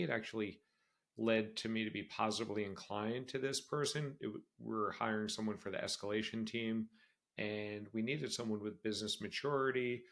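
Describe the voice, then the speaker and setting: A man's voice: on the low side, fairly bright tone. A man in his forties hosting a solo career podcast, talking candidly and conversationally and repeating phrases for emphasis. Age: 40s. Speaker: podcast host. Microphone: screen-sharing video podcast setup.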